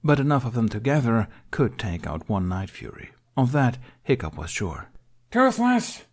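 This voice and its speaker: Guy with a lowish voice reading an audiobook, telling the story expressively. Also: lively intonation, moderate pace, darkish tone, slightly rough. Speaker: audiobook narrator. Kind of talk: expressive scripted storytelling narration.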